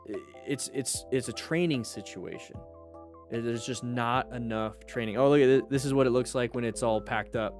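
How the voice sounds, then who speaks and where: A man's voice: medium pitch, steady tone, moderate pace, darkish tone. Casual joking man livestreaming over music. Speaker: livestream host; firefighter. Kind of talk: casual livestream chat, answering viewers.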